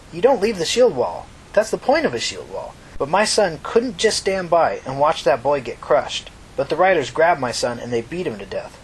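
A man's voice: on the high side, a little hiss or hum, pitched above the performer's own voice, poor audio. A man voicing a kindly, talkative old mother from New York in a story reading, folksy, reflective and reassuring. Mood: earnest, grieving. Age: older.

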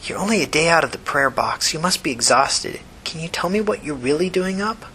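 A man puts on a devout young woman for an audiobook novel, her voice lifted high and bright. She speaks in a hushed, urgent way, earnest and preachy, over clear background noise. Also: lively intonation, a little fast. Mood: worried, pleading.

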